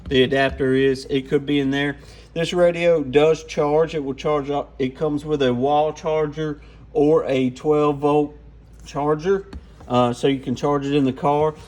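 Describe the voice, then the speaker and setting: A man's voice: a little high, fairly even intonation, unhurried, a little mellow. A man giving an informal, off-the-cuff hands-on product walkthrough. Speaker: radio gear reviewer.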